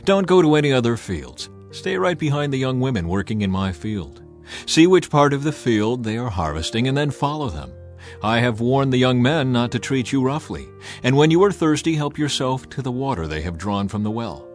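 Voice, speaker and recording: A man's voice: mid-range voice, big pitch swings, moderate pace, faint music behind, close to an everyday voice. A man voicing a kindly, protective wealthy landowner in an audiobook, instructing and reassuring.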